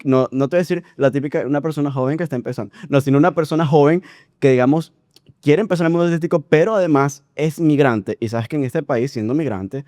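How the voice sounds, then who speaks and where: A man's voice: on the high side, fast, warm tone, no echo, clean studio sound. A man hosting a podcast, asking long, rambling questions in casual talk. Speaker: podcast host. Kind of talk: casual interview.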